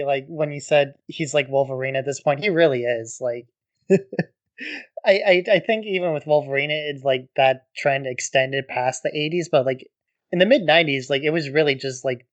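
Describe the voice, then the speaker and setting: A man co-hosting a podcast, talking in a relaxed, casual way and saying "like" often. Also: mid-range voice, moderate pace, lo-fi sound. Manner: relaxed, peppered with "like", laughs easily. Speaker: podcast co-host.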